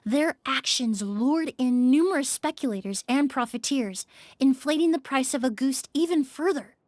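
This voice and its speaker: An actress voicing a composed female perfumer in a game, speaking in a calm, measured, precise way. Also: high-pitched, no echo, close to an everyday voice. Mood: explaining. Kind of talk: game voice acting. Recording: dry studio recording.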